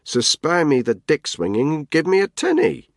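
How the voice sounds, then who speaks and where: A man's voice: on the high side, huge pitch swings, moderate pace, no room sound, clean studio sound, pitched above the performer's own voice. A man voicing a tough, blunt Australian woman of about thirty who cuts people down curtly. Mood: cutting. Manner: curt, cutting.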